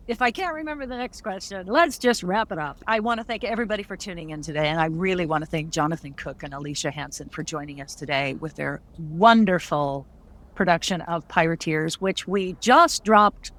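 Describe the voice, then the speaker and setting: A woman's voice: fairly low pitch, moderate pace, fairly bright tone. A woman hosting an interview in an informal, playful, quippy style. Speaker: interview host.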